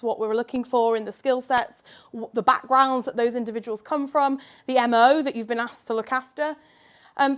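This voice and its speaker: A woman giving a live presentation at a tech meetup, chatty and informal, with fillers and little laughs. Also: high voice. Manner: says she has quite a loud voice. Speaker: guest speaker at a tech meetup.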